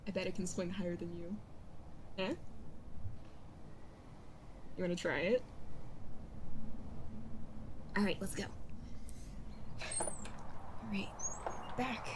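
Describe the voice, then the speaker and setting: Female actor as childhood friend, young adult, playful and bossy, halting casual delivery at a slow pace, intimate roleplay audio with clear background noise. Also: lowish voice. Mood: playful, excited. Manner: halting, rambling, casual speech with teasing bossiness.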